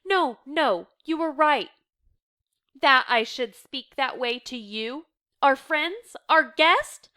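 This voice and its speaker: A woman's voice: high voice, lively intonation, slow, sharp tone, pitched above the performer's own voice, heavily acted. A woman playing a remorseful, emotional mother in a stage drama, pleading emphatically.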